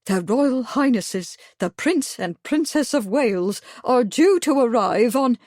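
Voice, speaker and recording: A woman voicing a loud, pompous middle-aged village busybody who speaks shrilly and officiously. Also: on the high side, expressive, measured pace, no room sound, clean studio sound, pitched above the performer's own voice. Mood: insistent.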